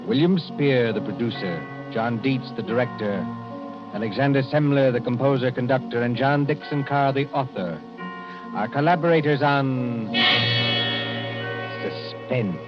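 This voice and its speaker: A man announcing formally and deliberately in scripted 1940s radio style, on a muffled old broadcast. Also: medium pitch. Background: prominent music.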